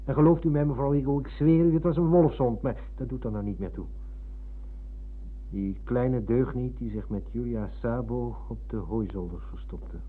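An actor playing a nostalgic, earnest former pupil in a radio drama, speaking emphatically and wistfully. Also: male voice, medium pitch, fairly even intonation, normal speed, breathy edge, phone-quality sound, natural voice. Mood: teasing, insistent. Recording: thin, humming old radio recording.

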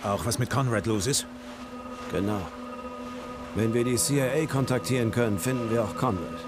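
An actor playing a grim, determined special forces squad leader in a dubbed video game. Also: male voice, medium pitch, normal speed, over music, natural voice.

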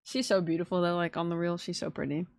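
A woman's voice: fairly low pitch, steady tone, quick pace, a crowd in the background. A woman in her twenties giving casual, gushing, slangy and playful reaction commentary as a streamer. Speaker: streamer reacting to game trailers. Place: small indoor streaming room at home. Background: faint trailer music.

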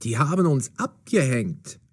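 An actor playing a gruff, menacing pursuer in an audio drama. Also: male voice, mid-range voice, big pitch swings, unhurried. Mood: confident. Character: gruff, menacing male pursuer; mocking bystander.

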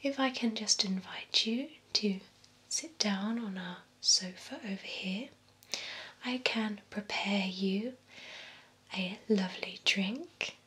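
A young woman playing a poised, courteous female vampire spa beautician in a breathy, soft ASMR whisper. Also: mid-range voice, poor audio. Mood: courteous, reassuring. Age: young adult. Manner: slow, soothing, formal, attentive. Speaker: ASMR performer. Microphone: close binaural microphone. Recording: close ASMR microphone with background hiss.

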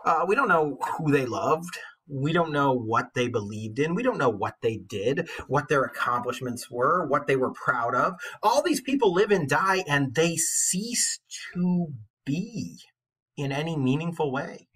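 A man's voice: on the high side, lively intonation, unhurried, a bit bright, slightly rough. A man in his forties, an English teacher, giving an informal literature lecture in an earnest, conversational, emphatic way.

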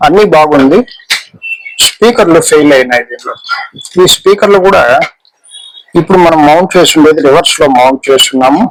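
A man gives an informal, hands-on repair explanation, haltingly and repetitively, mixing in English technical terms. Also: a little high, rough recording. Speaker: electronics repair demonstrator. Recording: clear background noise with clicks.

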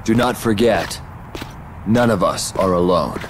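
A man playing a noble, wise armored leader in a video game, formal, measured and earnest. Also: low voice, animated, slight background noise. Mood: solemn, reassuring.

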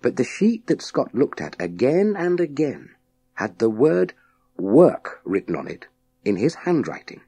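A man reads a story aloud in a low, mellow voice with a slightly husky edge. His delivery is measured and dramatic, very slow, with deliberate pauses between phrases. He sounds like a practised audiobook narrator telling children a tale. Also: very animated, no room sound. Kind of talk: scripted storytelling narration of a children's science fiction novel.

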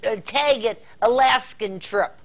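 An older American woman hosting a casual TV interview in a folksy, halting way. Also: a little high. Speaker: TV interview host. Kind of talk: casual talk-show interview. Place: indoor TV studio set.

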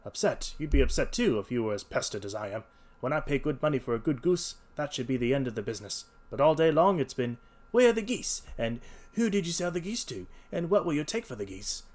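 Man doing an irritable, animated market salesman. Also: medium pitch, brisk, lightly acted. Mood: furious. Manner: gruff-worded, snapping, combative. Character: irritable, stubborn male market goose salesman. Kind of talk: storybook character reading.

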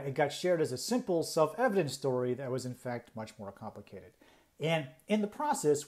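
A man's voice: medium pitch, a little mellow. A man presenting a conversational explainer, talking through points in an explanatory way and stressing key words.

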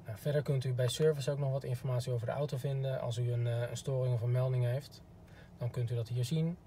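A man's voice: medium pitch, fairly even intonation, fast, mellow. A man giving a polite, informal instructional demo as a car product expert, recorded inside a parked car. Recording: clear background noise.

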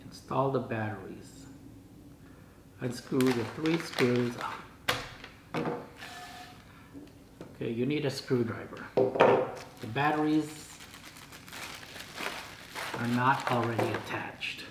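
A man's voice: medium pitch, extremely slow, long pauses. A man in his sixties chatting casually and thinking aloud during an unboxing, with puzzled asides and bits read out. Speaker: vlogger. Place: home dining room, indoors. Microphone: camera across the table. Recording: roomy echo, clear noise, camera across table.

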